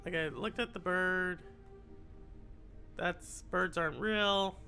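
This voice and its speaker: A streamer with a raspy, congested voice, chatting with viewers and muttering aloud while playing a game live. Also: mid-range voice, takes it slowly, sharp tone, soft background music. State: congested from a cold. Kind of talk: live gameplay stream with chat.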